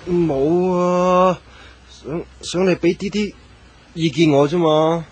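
A man's voice: a little high, steady tone, slow, slightly rough. A man acting as a bashful, nervous young man in a radio drama, hesitant and stammering, with a Hong Kong Cantonese accent.

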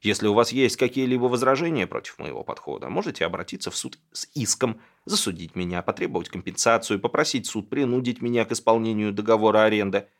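A man's voice: lowish voice, animated, fast, hardly any pauses. Man playing a taunting, defiant professor landlord. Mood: coolly taunting. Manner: formal legal phrasing, taunting. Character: defiant professor landlord barely hiding his glee.

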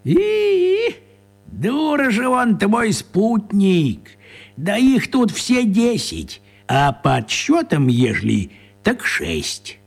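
An actor playing a folksy old village carter who talks in rustic dialect, for a radio play. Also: male voice, sits high, huge pitch swings, extremely slow, vocal fry, full character voice. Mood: grumbling, dismissive.

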